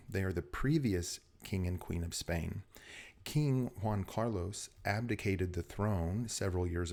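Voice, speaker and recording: Low-pitched guy hosting his channel, bit scratchy today, talks through things easy and friendly. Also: a little mellow. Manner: chatty, explanatory, occasional small laughs. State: scratchy voice from weather change. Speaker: host. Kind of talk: casual video presentation.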